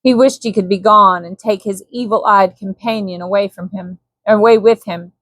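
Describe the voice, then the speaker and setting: A woman reading a scripted bedtime story as a calm audiobook narrator. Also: medium pitch, moderate pace, dry recording.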